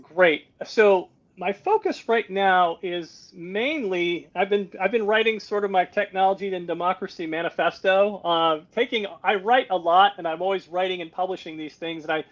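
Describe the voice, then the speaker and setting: Middle-aged american guy from greece on a video call, high voice, muffled lo-fi audio, laid back. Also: normal speed, hardly any pauses. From United States. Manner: relaxed, self-deprecating, frequent restarts. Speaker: guest, policy advisor. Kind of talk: conversational interview. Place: home on a Greek island.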